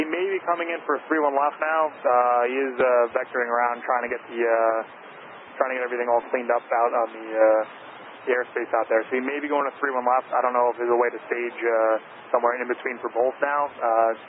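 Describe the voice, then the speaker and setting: A man's voice: mid-range voice, fairly even intonation, fast talker. A man working as an air traffic controller, giving plain-spoken explanations and instructions over aviation radio. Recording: noisy, narrow-band air traffic radio.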